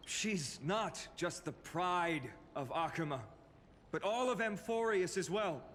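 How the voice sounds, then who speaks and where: An actor playing a young male hero in a video game, earnest and gently wry with his comrades. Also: high voice, animated, takes it slowly, slight background noise, full character voice. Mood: earnest, heartfelt. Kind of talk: scripted video game acting. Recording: game dialogue under loud music.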